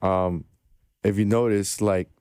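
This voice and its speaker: Co-host: low, smooth-voiced man, easygoing slang, dry sound. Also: steady tone, takes it slowly, darkish tone, dry recording. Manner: laid-back, slangy prompting. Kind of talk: casual podcast interview.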